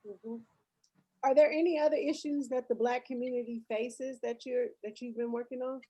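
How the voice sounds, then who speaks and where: High, husky American woman interviewing. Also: steady tone, moderate pace, dark tone, low-quality recording. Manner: affirming, probing with short follow-up questions. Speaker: podcast host interviewing a guest. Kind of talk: conversational interviewing.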